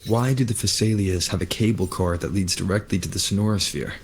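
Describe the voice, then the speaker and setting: A man playing a calm, reserved, inquisitive young wanderer in a video game, speaking in a level, matter-of-fact way. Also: deep voice, a little fast, breathy edge. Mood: curious.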